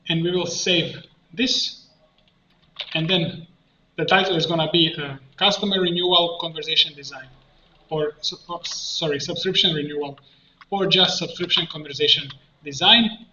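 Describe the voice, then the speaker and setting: A man giving an unscripted screen-share software tutorial, explaining step by step in a halting way. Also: fairly high pitch. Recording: muffled roomy mic with faint noise.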